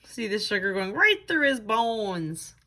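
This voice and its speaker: A woman's voice: mid-range voice, big pitch swings, measured pace, pushed and bright. A woman tutor reading to children in a sing-song storytelling style, with chatty asides. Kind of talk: expressive read-aloud and craft lesson for children.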